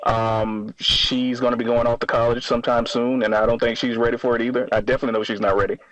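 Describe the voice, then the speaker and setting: A man in his thirties speaks as a caller to a radio show. His voice is mid-pitched and very dry, without room sound. He answers hesitantly and defensively, often stammering and restarting. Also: level tone, fast, a bit bright, no echo, lo-fi sound. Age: 30s. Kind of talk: spontaneous phone-in conversation.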